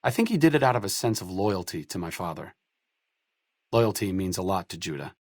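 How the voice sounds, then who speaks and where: A man's voice: low voice, sing-song, a little fast, no room sound. A man playing a wry, educated, idealistic doctor-researcher in a post-apocalyptic video game, articulate and sardonic. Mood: reflective, wry. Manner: dry, articulate, sardonic, self-deprecating. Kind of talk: video game companion dialogue.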